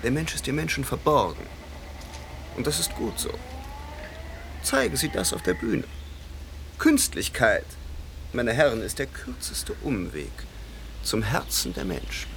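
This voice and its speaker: An actor in a radio drama playing a vain, cultivated stage actor and director who speaks in polished, ironic aphorisms. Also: male voice, medium pitch, big pitch swings, measured pace, gravelly, pauses often, noisy background. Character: vain, cultivated man of the theatre, champion of artifice.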